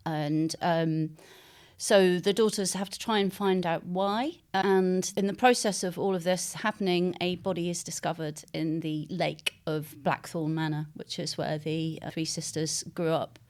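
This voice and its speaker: A woman with a smooth, clear voice that sits a little low. She talks as an interviewed author, explaining things reflectively and pausing as she shapes her phrases. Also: fairly even intonation, a little mellow. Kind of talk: interview talk about own writing.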